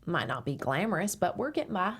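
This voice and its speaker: A woman's voice: fairly low pitch, very animated, fast, a bit bright, husky, full character voice. A woman reading the part of a proud, stubborn former radio host with a prim manner, at a table read.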